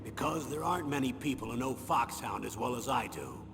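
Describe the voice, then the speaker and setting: An actor playing an aging retired army colonel in a video game, firm but fatherly, earnest and measured in his persuasion. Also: medium pitch, big pitch swings, over loud music. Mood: persuasive, earnest. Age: older. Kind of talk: dramatic video game voice acting. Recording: hollow reverberant audio with clear background noise.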